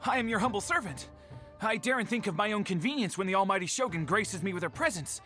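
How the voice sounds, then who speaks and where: Young servant, stammering and eager, bright tense voice. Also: male voice, high voice, lively intonation, fast, faint music behind. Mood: flustered. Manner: flustered, stammering, eager to please.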